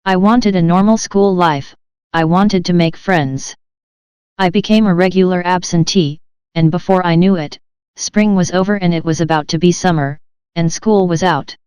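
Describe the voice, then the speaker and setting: An audiobook narrator reading a first-person memoir in a steady, even manner. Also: mid-range voice, clean tone, no room sound.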